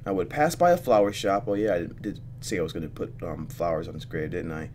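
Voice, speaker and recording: A man playing a visual novel, reading the text aloud and thinking out loud expressively as he goes. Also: deep voice, fast talker, a little hiss or hum. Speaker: let's player reacting to a visual novel. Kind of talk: gaming commentary mixed with reading game text aloud.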